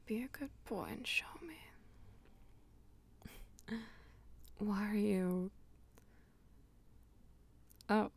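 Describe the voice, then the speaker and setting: A woman playing a dominant, teasing female vampire lover in an intimate ASMR roleplay, coaxing and breathy, often dropping toward a whisper. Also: medium pitch, slight background noise. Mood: jealous, suspicious. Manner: slow, coaxing, teasing pet names.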